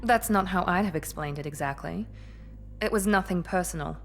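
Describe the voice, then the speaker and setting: A woman's voice: on the low side, fairly quick, soft background music, lightly acted. A woman playing a cool, analytical covert operative in a video game, detached and matter-of-fact. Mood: dry, unruffled.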